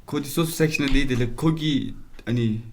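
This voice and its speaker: A man in his twenties, a guest beatboxer, chatting quickly and switching languages. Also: medium pitch, a little hiss or hum. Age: 20s. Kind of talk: casual chat.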